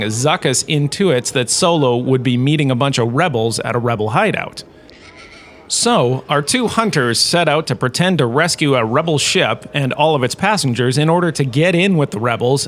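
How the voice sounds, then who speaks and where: A man playing a self-regarding, jokey professor giving a scripted comedic lecture with theatrical storytelling pauses. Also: mid-range voice, lively intonation, fairly bright tone, lightly acted. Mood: earnest storytelling. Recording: clearly noisy background ambience.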